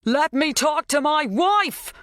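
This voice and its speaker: A woman voicing a frantic, anxious young husband in an audiobook, sharp and pleading. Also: low-pitched, little pitch movement, fast, no room sound, studio quality. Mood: frantic shouting.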